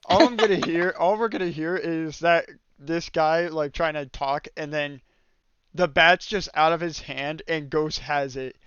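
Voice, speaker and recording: A man with a fairly high, smooth voice leads a casual, joking group chat. The recording is dry and slightly muffled. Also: a little slow, a bit bright. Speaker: host of a group tier-list discussion.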